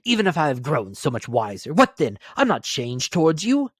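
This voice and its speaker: A man's voice: fairly high pitch, huge pitch swings, normal speed, breathy edge, dry recording, clean studio sound, voice pushed up, heavily acted. A man playing a proud, defensive man in his prime, money-driven and impatient, in a storybook reading. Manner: impatient, retorting.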